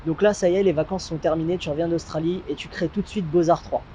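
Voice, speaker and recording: Male interviewer, high pitch, conversational questions, soft tone, faint outdoor noise. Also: fairly even intonation, fast.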